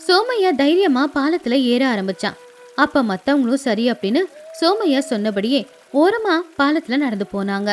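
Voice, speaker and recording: A woman narrating a scripted animated moral tale as a storyteller. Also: sits high, moderate pace, slight background noise.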